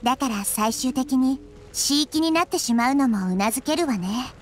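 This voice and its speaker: A woman voicing a gentle, wise little girl nature deity in an anime game, speaking calmly and thoughtfully. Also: high voice, unhurried, soft background music. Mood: calm, explaining. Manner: calm, thoughtful, softly explanatory. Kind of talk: Japanese anime game voice acting.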